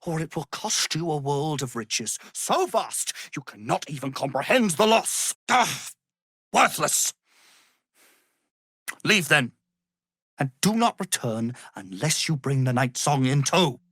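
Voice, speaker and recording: An actor playing an arrogant, power-hungry wizard villain in a fantasy video game, with a haughty, theatrical, condescending manner. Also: male voice, fairly high pitch, expressive, slow pace, fairly bright tone, slightly rough, dry recording, studio quality, heavily acted. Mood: angry, demanding.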